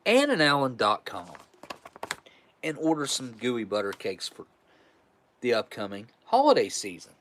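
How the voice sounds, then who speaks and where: A man's voice: medium pitch, a bit bright, a little hiss or hum. A man in his forties hosting a live stream, chatting casually with viewers in a rambling, chuckling, easygoing way. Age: 40s. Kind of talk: casual live chat reading viewer messages.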